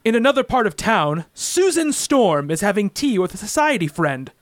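A man's voice: high voice, sing-song, dry recording. A man playing a theatrical comic-book narrator, declaiming scripted narration in an amateur radio play. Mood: dramatic. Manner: melodramatic, fast, declamatory.

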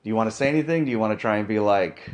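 A man's voice: on the low side, very fast. A man narrating as game master with playful, theatrical introductions.

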